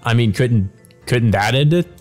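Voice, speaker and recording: A man's voice: medium pitch, expressive, normal speed, soft background music. A man giving casual, off-the-cuff commentary as he plays a visual novel. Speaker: let's player of visual novels. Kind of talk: casual let's play commentary.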